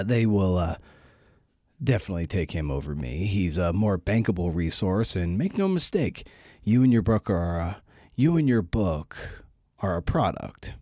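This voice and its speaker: A man with a low, mellow voice hosts a podcast in a casual, candid style. His manner is wry and self-deprecating. The sound is dry, with very little room in it. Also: dry recording. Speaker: podcast host and writer.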